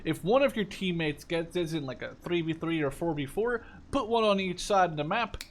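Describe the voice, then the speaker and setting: A man's voice: a little high, lively intonation, moderate pace. A man in his twenties from the Netherlands giving a casual, rambling, enthusiastic game overview with informal language. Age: 20s. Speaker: gaming video creator. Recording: clear background noise.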